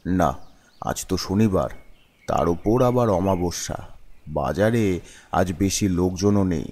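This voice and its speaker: A man plays an uneasy shopkeeper narrating a horror cartoon. His voice is very low and dark, with slow, brooding delivery full of doubt. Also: animated, full character voice. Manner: brooding, questioning. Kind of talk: first-person cartoon ghost-story narration.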